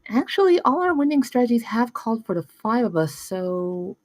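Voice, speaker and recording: A woman's voice: fairly high pitch, sing-song, normal speed, no room sound. A woman at a script table read playing a dry, pedantic thirteen-year-old girl. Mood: dry, exasperated.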